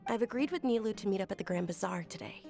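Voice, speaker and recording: An actress voicing an earnest, gentle, generous young noblewoman in a video game. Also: medium pitch, racing, gravelly, soft background music, natural voice. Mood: polite, sincere. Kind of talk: video game character dialogue.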